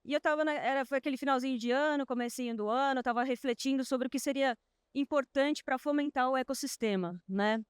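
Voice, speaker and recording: A woman's voice: high voice, quick pace, clean tone, dry recording, clean studio sound. A woman moderating a panel at a live event, introducing the session in a chatty way with many fillers and restarts. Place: outdoor stadium event stage.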